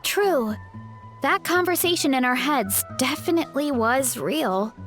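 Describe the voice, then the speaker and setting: Slow, high-voiced earnest young heroine. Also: female voice, lively intonation, a bit bright, soft background music. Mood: curious. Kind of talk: video game voice acting.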